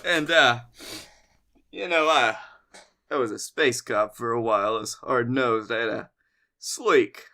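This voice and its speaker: A man's voice: medium pitch, unhurried, long pauses, pushed and bright. A man co-hosting a comedy podcast, casually riffing and brainstorming ideas with a friend.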